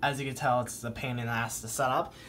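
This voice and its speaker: Man vlogging casually over clear background noise. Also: medium pitch, level tone, fast talker, a bit bright. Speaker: hobby vlogger showing a weather radio collection. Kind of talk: casual handheld show-and-tell vlog. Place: indoor room at home. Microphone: handheld camera or phone, behind the lens. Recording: noisy amateur home camera recording.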